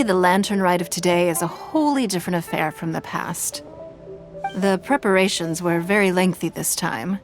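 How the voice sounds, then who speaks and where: A dignified woman of high standing for a video game. Her voice sits fairly low, and she speaks with formal, gracious composure. Music plays behind her. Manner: measured, formal, elegant. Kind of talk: video game character dialogue.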